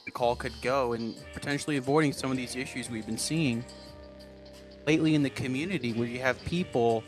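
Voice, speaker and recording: A man of medium pitch offers mock-serious commentary on a comedy show. His delivery is deadpan and sanctimonious, as if lecturing everyone. Soft music sits behind the voice. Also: fairly quick. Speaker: co-host.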